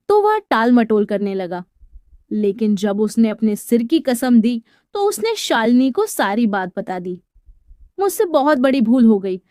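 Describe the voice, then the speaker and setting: A woman's voice: a little high, dark tone, no room sound. A woman narrating a scripted story in a dramatic storytelling style, recorded as a close voice-over.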